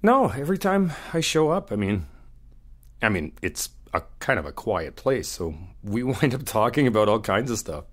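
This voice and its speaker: A man playing an easygoing, flirty hotel guest in an audio roleplay, chatting casually and haltingly in one-sided phone talk. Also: medium pitch, sing-song. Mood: smitten, bashful. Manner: casual, halting, slangy, swearing.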